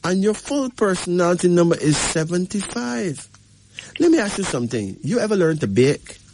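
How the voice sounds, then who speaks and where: A man's voice: sits high, very animated, normal speed, a little mellow, a little hiss or hum. A man hosting a show, giving an emphatic numerology reading and checking in with the caller again and again. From Barbados. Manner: emphatic, repeats "right?" to the caller. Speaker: radio host.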